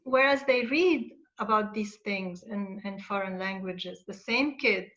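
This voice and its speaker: A woman's voice: medium pitch, unhurried, fairly bright tone, dull audio. A woman from Lebanon, a children's author, talking earnestly on an online panel, with many hesitations. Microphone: video call.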